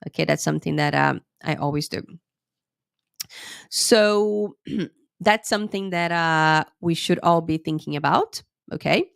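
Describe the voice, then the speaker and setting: A woman teacher talks through a live-streamed lesson from close to the mic, in a dry room with little echo. She has a slight cold and a sore throat, so she talks a little slowly. She keeps checking in with her viewers. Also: low-pitched, gravelly. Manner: chatty, lots of "okay?" checks with viewers. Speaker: English teacher and IELTS tutor.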